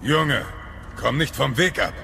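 An actor playing a stern, terse warrior father in a German audio drama, gravelly and commanding. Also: mid-range voice, expressive, unhurried, crowd noise. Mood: stern, curt. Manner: curt, commanding.

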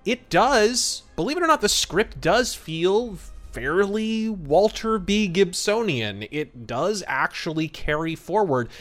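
Guy podcast host, slightly high voice, wry chatty movie review, really into the details. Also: animated, takes it slowly, fairly bright tone, soft background music. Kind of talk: film review commentary.

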